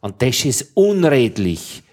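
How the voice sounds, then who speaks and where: A man's voice: medium pitch, big pitch swings, slow, darkish tone. A man with an Austrian accent, a radio interviewer from Upper Austria, telling anecdotes in a rambling way with many restarts. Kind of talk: casual anecdotal conversation.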